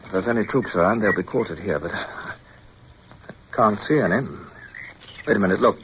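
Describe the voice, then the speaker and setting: Actor as a low-voiced, resolute naval officer. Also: male voice, normal speed, lightly acted. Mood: urgent. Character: resolute British naval officer, commanding yet tender, recounting his war. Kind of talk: radio drama acting and first-person narration. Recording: muffled, noisy old radio recording.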